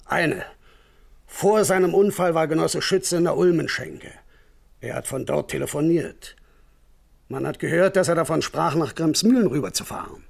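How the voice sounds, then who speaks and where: Folksy male village informant, radio crime play; extremely gravelly, raspy voice, gossipy and well-informed. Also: fairly high pitch, normal speed. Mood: reporting. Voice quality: gravelly, husky. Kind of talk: German radio crime drama acting.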